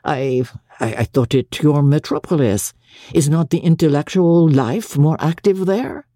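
A woman's voice: low-pitched, unhurried, dark tone, no echo, studio quality. A woman voicing an eager young tutor, earnest, polite and philosophising. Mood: embarrassed. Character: eager, impecunious young Frenchman, an intellectual private tutor.

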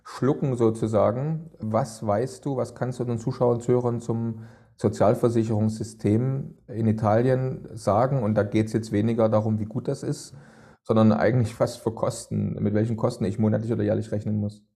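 A man's voice: on the low side, fairly even intonation, normal speed, vocal fry, mellow, breathy edge. A man hosting a podcast, putting long, winding questions to his guest with many fillers. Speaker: podcast host.

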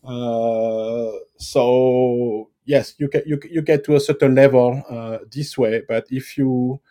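A man with a French accent answering interview questions, pausing often with fillers and restarts. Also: medium pitch, steady tone, warm tone. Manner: hesitant, many fillers and restarts. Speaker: guest expert.

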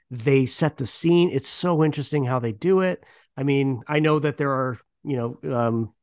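A man's voice: mid-range voice, no room sound, studio quality. A man hosting a podcast interview in a casual, enthusiastic and appreciative manner.

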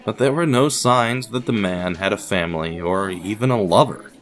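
A man's voice: on the low side, normal speed. A man reading scripted narration as the narrator of an audio production.

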